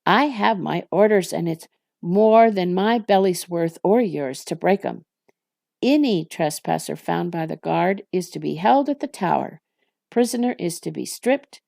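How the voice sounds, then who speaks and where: A woman's voice: lowish voice, expressive, measured pace, creaky, darkish tone, no echo. Growling orc captain, done by a woman reader, snarling, irritable, duty-bound, storybook villain, close dry sound. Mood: gruff, impatient. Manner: snarling, blustering.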